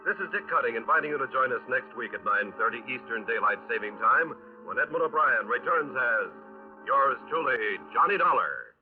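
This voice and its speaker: A man's voice: mid-range voice, expressive, a little fast, phone-quality sound, over music. An American man reading scripted radio announcements in a formal, polished 1950s broadcast style.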